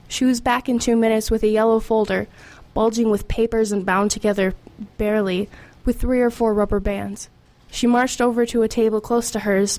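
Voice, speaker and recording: A woman's voice: medium pitch, darkish tone, lightly acted. An actress playing a streetwise teenage girl in a radio drama, confiding and matter-of-fact as she tells her story. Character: streetwise, wry, formerly homeless teenage girl. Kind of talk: first-person narration and dialogue in radio drama.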